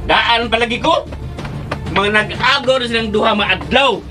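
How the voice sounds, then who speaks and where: An actor playing a meddling, scornful uncle in a radio drama. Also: male voice, very high voice, unhurried, over music. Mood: mocking, indignant.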